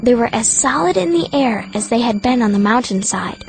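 A woman with a fairly high voice narrates a fantasy audiobook. She tells the story in the first person as a fierce young girl mage, with music faintly behind her. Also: lively intonation, brisk, gravelly.

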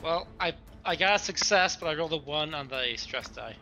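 A man's voice: a little high, animated, brisk, pushed and bright. A man chatting casually as a player in a tabletop game. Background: music behind the voice.

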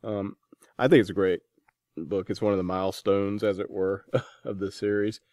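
A man hosts an author interview in a conversational style. His voice sits fairly low, recorded dry with little room around it. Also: level tone, normal speed, a little mellow, no echo. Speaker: podcast host interviewing an author.

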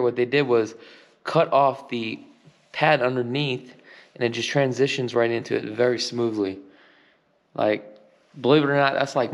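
Upbeat man touring an empty echoing house. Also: medium pitch. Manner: enthusiastic, pointing out details. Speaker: real estate agent giving a home tour. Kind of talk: casual walkthrough narration. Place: empty house, large bare rooms. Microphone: handheld camera. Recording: long reverb of empty rooms.

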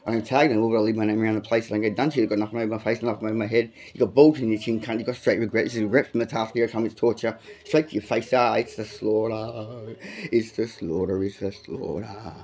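Profane amateur rapper, dark low voice. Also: male voice, fairly even intonation, fast. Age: adult, has a son. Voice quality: says he has a big voice. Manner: profane, aggressive, rambling freestyle bars with repeated lines. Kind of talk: freestyle rap.

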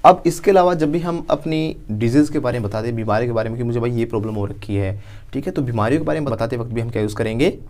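A man's voice: mid-range voice, fast talker, a little mellow. A man in his thirties teaching a spoken English lesson, acting out little everyday scenes as he goes. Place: dark studio.

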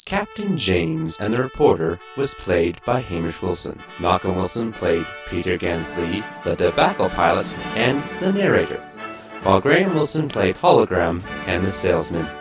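A man's voice: low-pitched, big pitch swings, moderate pace. A man reading credits as a narrator in a scripted, tongue-in-cheek style. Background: music.

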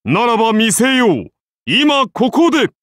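A man's voice: very high-pitched, expressive, unhurried, a bit bright, no echo, clean studio sound, heavily acted. A man voicing a godlike founder-king and lancer of Rome in an anime game, grand, solemn and declamatory. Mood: solemn, majestic. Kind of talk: anime game battle voice lines.

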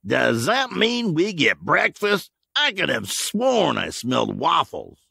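A man's voice: high-pitched, big pitch swings, measured pace, creaky, bright, forceful tone, dry recording, studio quality, voice pushed up, heavily acted. A man voicing a rat-faced male outlaw who talks in an ironic, taunting way. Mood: mocking.

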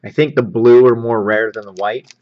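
A man with a medium-pitched voice hosts a casual unboxing. He is upbeat and easygoing as he comments on what is in his hands. The sound is a little dull and lo-fi, as from a basic microphone at a tabletop. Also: steady tone, moderate pace. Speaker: host of a sports card pack-opening show.

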